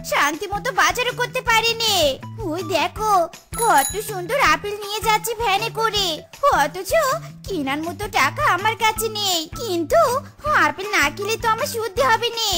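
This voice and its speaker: A woman voicing a greedy, grumbling fox wife in a comedy cartoon. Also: very high voice. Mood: covetous. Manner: complaining.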